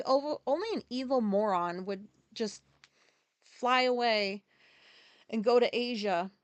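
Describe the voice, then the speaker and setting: A middle-aged woman who grew up in Japan vents alone into her podcast mic. Her voice sits a little high, and she rambles through fillers and swearing. The sound is dry and close, with no room around it. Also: smooth, no room sound. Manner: ranting, profane, full of fillers and asides. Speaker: podcast host. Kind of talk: solo venting monologue.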